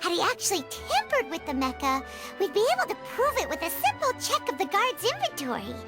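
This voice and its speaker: A tiny floating video game sidekick, with an extremely high, childlike squeak that swings around in pitch. The little creature is curious and eager, thinking aloud in bubbly exclamations over music. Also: female voice, wildly swooping pitch, brisk, full character voice. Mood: curious, pondering.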